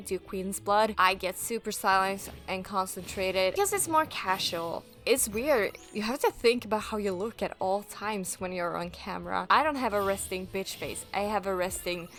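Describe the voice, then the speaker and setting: A woman's voice: medium pitch, normal speed, hardly any pauses, a bit bright, faint music behind. Chatty Norwegian-accented young woman. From Norway. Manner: chatty, candid, laughs easily. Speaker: gaming channel host. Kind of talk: casual gameplay commentary.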